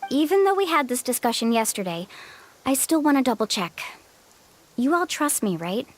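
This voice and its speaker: A woman's voice: high voice, big pitch swings, moderate pace. A woman playing a confident, friendly young adventure guide in a game, encouraging and earnest. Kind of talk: animated game voice acting.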